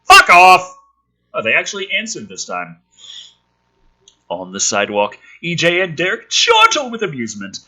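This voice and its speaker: A man reading a film script aloud and adding casual, sardonic, profane commentary in an animated way. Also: a little high, normal speed.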